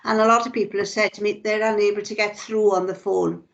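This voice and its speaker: A woman's voice: medium pitch, level tone, fast, vocal fry. Welsh woman panel member. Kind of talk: online council meeting comment. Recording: dull video-call audio.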